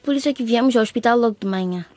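A woman's voice: on the high side, very fast, a little mellow, no echo, lightly acted. A woman acting as a caring, outspoken young daughter in a radio drama, earnest and insistent. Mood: worried.

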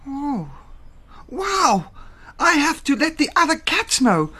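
A woman's voice: high-pitched, expressive, extremely slow, fairly bright tone, heavily acted. A woman voicing a curious, anxious young male cat in a children's story, stammering and hesitant. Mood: amazed.

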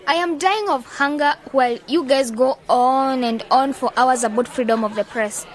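A woman playing an outspoken, fun-loving young member of a youth group in a radio drama, expressive and opinionated. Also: high voice, normal speed, natural voice. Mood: impatient. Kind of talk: scripted educational radio drama dialogue.

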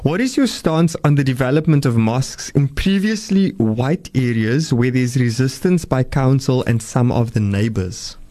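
Male radio host at mid pitch, relaxed and chatty, reading listener questions to a guest. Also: moderate pace, few pauses. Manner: casual, friendly, reads out listener questions. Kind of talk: radio call-in interview.

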